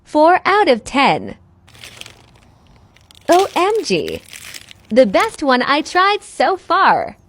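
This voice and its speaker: A woman's voice: high-pitched, huge pitch swings, extremely slow, long pauses, fairly bright tone. A woman giving short, simple commentary for ASMR videos as an unboxing and tasting presenter.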